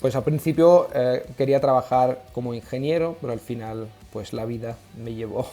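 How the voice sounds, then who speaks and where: A man's voice: mid-range voice, moderate pace, warm tone, soft background music. A middle-aged man with an Italian accent, speaking Spanish as a guest in a casual interview, hesitant and full of fillers. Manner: hesitant, many fillers and restarts. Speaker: guest, language school founder.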